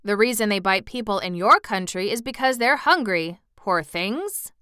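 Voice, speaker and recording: A woman voicing a kindly, anxious emperor made of tin in a storybook, speaking formally and earnestly in a measured way. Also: medium pitch, lively intonation, bright, forceful tone, clean tone, dry recording, clean studio sound. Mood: courteous, gentle. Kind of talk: storybook narration character voice.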